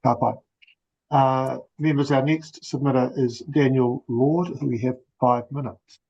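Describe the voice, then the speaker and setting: A man's voice: mid-range voice, steady tone, measured pace, vocal fry, darkish tone. A man chairing a committee meeting, courteous and brief, mixing in Māori greetings. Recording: dull lo-fi audio.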